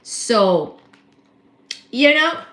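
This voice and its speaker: A woman's voice: a little high, big pitch swings, very slow, bright, forceful tone, a little hiss or hum. A woman with Bengali as her first language, from India, hosting a livestream in a mixed Indian and American English accent, chatting casually as she reads out viewer comments and thinks aloud. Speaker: livestream host. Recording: echoey room.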